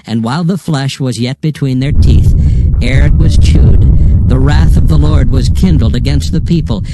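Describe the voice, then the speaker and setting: A man narrating scripture from a script in a measured, solemn manner. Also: mid-range voice, rough recording.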